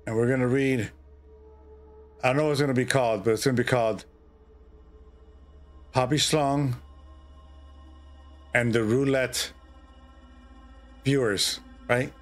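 A middle-aged man hosting a livestream, chatting casually and reading a horror story in a drawn-out, theatrical way with pauses. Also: mid-range voice, fairly even intonation. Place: indoor streaming setup. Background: music.

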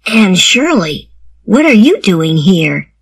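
A woman's voice: medium pitch, big pitch swings, slow pace, husky, no echo, exaggerated voice. A woman voicing a proud but polite schoolboy in a storybook reading, sincere and plain. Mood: earnest.

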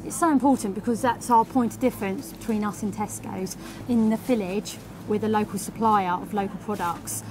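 A woman's voice: medium pitch, fairly even intonation, fairly quick, few pauses. A woman in her forties, a retail manager, talking in a friendly, chatty way with frequent ums during an on-site interview in a shop. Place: convenience store, indoors. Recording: noisy shop background. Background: shop ambience.

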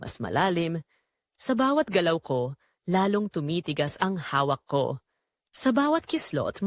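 A woman acts out a married mother confessing in an audio drama. Her pitch moves widely over short, deliberate phrases. The sound is dry and close, with very little room around it. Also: no echo. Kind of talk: scripted first-person audio drama narration.

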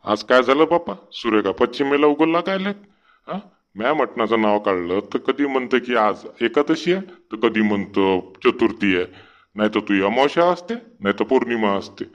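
Man acting henpecked cartoon village husband, complaining, sarcastic, rural Vidarbha Marathi accent, medium pitch, dull sound. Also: expressive, normal speed, lightly acted.

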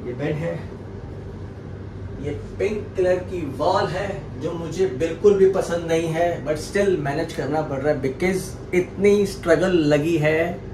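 Young male travel vlogger, casual and chatty, talks straight to camera over road noise. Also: on the high side. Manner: chatty, addresses viewers directly. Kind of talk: casual vlog narration. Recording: noisy road-trip background.

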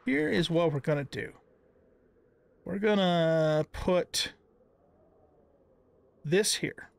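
A man's voice: on the high side, expressive, extremely slow, vocal fry, dry recording. A middle-aged man giving casual gameplay commentary, thinking aloud in a conversational way. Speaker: gaming video host.

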